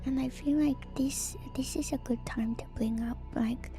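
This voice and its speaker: Woman, hushed breathy whispering, slightly high pitch, ASMR reading, enthusiastic casual asides, clear background music. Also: fairly even intonation, normal speed. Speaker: streamer and lore reader.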